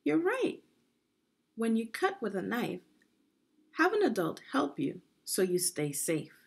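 A woman reading aloud to children as a teacher would, in a guided lesson style with deliberate phrasing. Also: on the high side, wildly swooping pitch, creaky, breathy edge. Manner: deliberate teacherly phrasing, asks the listener questions. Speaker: education consultant reading aloud.

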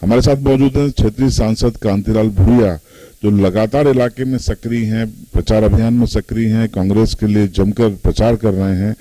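A man's voice: lowish voice, fairly even intonation, moderate pace, warm tone, dry recording, rough recording. A middle-aged man reporting for local news, formally framing questions in an office. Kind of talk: news interview questions and sign-off. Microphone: handheld branded mic.

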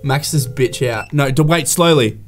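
A young man co-hosting with casual, joking banter, excitable and quick to swear. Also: medium pitch, soft background music. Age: young adult. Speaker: co-host. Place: small indoor studio, at a table.

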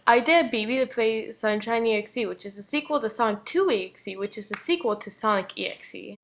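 A woman reading fan questions and dares aloud in an animated way for a comic dub. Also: a little high, a little fast, a little hiss or hum.

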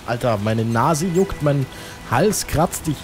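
A man's voice: mid-range voice, expressive, takes it slowly. A man with a cold giving casual, rambling commentary over his gameplay, muttering to himself. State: has a cold. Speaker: let's play commentator. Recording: over loud game music.

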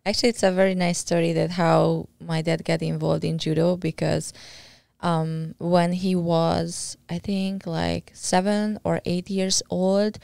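A woman's voice: fairly low pitch, flat delivery, a little slow, gravelly, darkish tone, no room sound. A woman in her thirties from Hungary with a Hungarian accent, a friendly podcast guest who sometimes searches for words. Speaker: guest, judo athlete. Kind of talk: casual interview. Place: small podcast studio. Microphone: boom-arm studio mic with headphones.

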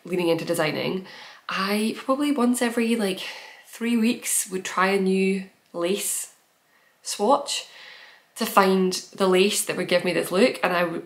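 A young woman hosting a knitting podcast, chatting casually and giggly to camera from a small room at home, sounding nasal from a head cold. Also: fairly low pitch. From based in Edinburgh. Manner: chatty, giggly, rambling with fillers.

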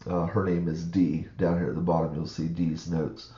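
A man's voice: low-pitched, level tone, normal speed, mellow, echoey room, muffled recording. A man with a froggy, groggy morning voice, rambling through a casual screen-share walkthrough with many fillers. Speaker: online reseller vlogger.

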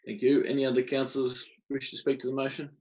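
A man's voice: medium pitch, monotone, quick pace, a little mellow, rough recording. A man with an Australian accent chairing a council meeting as mayor in short, procedural phrases.

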